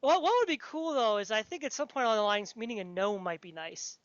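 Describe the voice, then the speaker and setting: A woman with a fairly high voice chats casually as a podcast interviewer. She is informal and enthusiastic. The sound is very dry, though dull and lo-fi. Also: very animated, quick pace, no echo.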